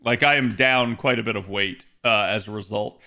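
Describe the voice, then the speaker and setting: Hoarse, rambling man streaming casually. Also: lowish voice, moderate pace, bright, forceful tone. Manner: rambling, self-correcting, many fillers. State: hoarse, slightly raspy voice. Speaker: game streamer. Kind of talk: casual live-stream chat, talking to viewers. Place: home.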